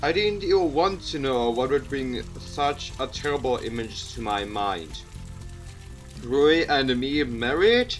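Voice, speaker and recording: A game streamer with a mid-pitched voice reading a story game aloud expressively, with asides and the odd stumble over words. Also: lively intonation, a little slow, soft background music. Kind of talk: let's play narration with reactions and asides.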